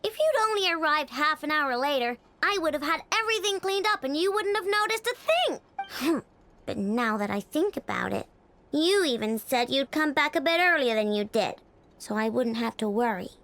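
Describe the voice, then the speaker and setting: A woman's voice: high-pitched, lively intonation, moderate pace, fairly bright tone, a little hiss or hum. A woman voicing a young, sweet-toothed girl mechanic in a video game, childlike, hesitant and wheedling, stretching out words. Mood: whiny, pleading. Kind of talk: animated video game acting.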